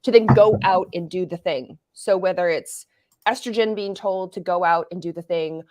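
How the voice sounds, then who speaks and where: A woman in her forties, a guest expert on an interview show, explaining things in a lively way with casual analogies. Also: lowish voice. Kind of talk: interview answers.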